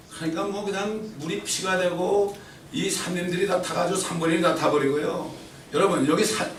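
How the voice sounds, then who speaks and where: Middle-aged guy preaching, bit high voice, loud then quiet, keeps asking the crowd stuff, far off mic in a big echoey church. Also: vocal fry, a little hiss or hum. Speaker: pastor. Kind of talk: sermon. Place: church sanctuary. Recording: roomy church echo from far off.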